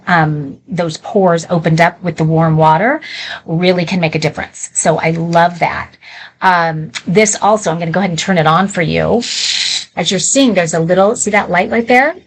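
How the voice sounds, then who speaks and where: A woman's voice: fairly low pitch, low-quality recording. Chatty woman hosting live shopping. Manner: chatty, candid, conversational asides. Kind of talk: informal live product demonstration.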